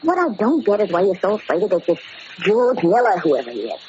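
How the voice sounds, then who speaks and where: On a muffled, slightly hissy old radio recording, a gangster's girlfriend played by a woman speaks with a swooping, animated voice. She is affectionate, coaxing and inquisitive, in classic 1940s drama style. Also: mid-range voice, brisk, close to an everyday voice. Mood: coaxing, curious.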